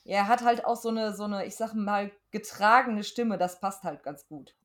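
A woman's voice: medium pitch, moderate pace, a bit bright. A woman co-hosting a casual podcast review, talking in a colloquial, blunt and opinionated way. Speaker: podcast co-host discussing an audio drama.

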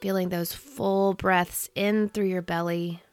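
A woman in her thirties leading a guided meditation in a soothing, gentle, unhurried manner. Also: on the low side, fairly even intonation, smooth, faint music behind. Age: 30s.

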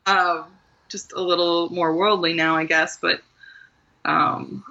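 A woman from Maryland, a guest author, telling stories in an animated way and laughing often. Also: on the low side, slow pace, gravelly. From Maryland, USA. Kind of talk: conversational interview. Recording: muffled lo-fi sound.

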